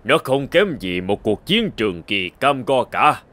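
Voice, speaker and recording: A man playing a grave, fatherly old ruler of the gods in an animated myth, stately and cautioning. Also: medium pitch, a little slow.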